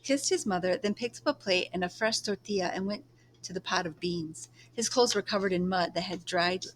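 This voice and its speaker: A woman's voice: fairly low pitch, fairly quick, slight background noise, rough recording. A woman storyteller reading a children's book aloud.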